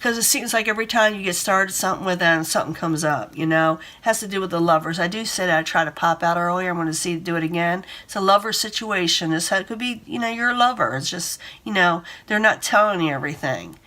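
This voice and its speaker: A woman reading tarot cards in a casual, rambling way, hoarse from a cold and breathing hard. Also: fairly low pitch, fast talker, few pauses, bright, forceful tone. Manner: rambling, repeats herself, gives advice. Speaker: tarot reader.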